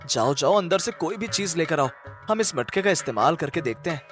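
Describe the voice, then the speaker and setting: A man's voice: fairly high pitch, animated, fairly quick, fairly bright tone, soft background music. A man voicing a kind, cautious poor village husband in a cartoon story, speaking earnestly and emphatically. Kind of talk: Hindi cartoon story dialogue.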